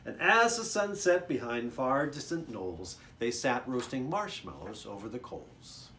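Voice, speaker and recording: A man's voice: medium pitch, very animated, unhurried, fairly bright tone, a little hiss or hum. A man, a children's author, reading a picture book aloud expressively, with chatty asides to young listeners.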